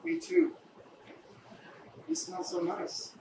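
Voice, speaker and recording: A man acts out a protective brother in a staged play reading, indignant and awkwardly tender. His delivery swings widely in pitch. It is recorded from afar in an echoey, noisy cafe. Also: sing-song, slow pace, darkish tone, exaggerated voice. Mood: hushed, tender. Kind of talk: live dramatic script reading. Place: small cafe, indoors. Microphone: far camera microphone. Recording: echoey cafe, distant camera mic, noisy.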